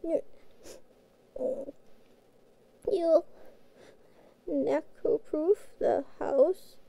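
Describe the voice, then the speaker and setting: A woman playing a childlike, guilt-ridden catgirl pet in a fantasy audio roleplay, speaking in halting, pleading, naive phrases. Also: high-pitched, creaky, dark tone, no room sound, full character voice. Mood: sheepish, softening.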